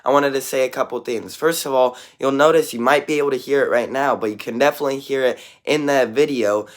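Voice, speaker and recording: A man with a raspy, slightly hoarse voice, hosting casual sports prediction commentary in a matter-of-fact way. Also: medium pitch, fairly even intonation, fast. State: hoarse from partly lost voice. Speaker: sports prediction video host.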